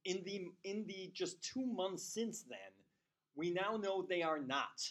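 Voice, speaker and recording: A man's voice: high-pitched, expressive, a little slow, a bit bright. A man giving a talk to a community forum, chatty and prone to repeating words as he starts a sentence. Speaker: guest speaker. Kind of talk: public talk with casual chat before.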